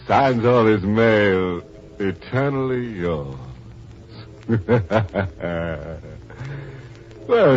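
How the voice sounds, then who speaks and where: Deep-voiced guy hosting old spooky radio show, slowish, wry and conspiratorial, muffled old recording. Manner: wry, conspiratorial, addressing the listener. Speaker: host and narrator. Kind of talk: 1940s radio horror hosting. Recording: muffled vintage radio recording, faint music.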